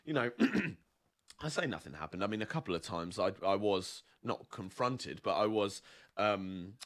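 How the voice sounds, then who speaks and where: A man's voice: lowish voice, lively intonation, a little slow, fairly bright tone. A young man from southern England, chatting casually as a podcast co-host and telling stories with lots of fillers and restarts. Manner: rambling storyteller, many fillers and restarts. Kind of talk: casual chat.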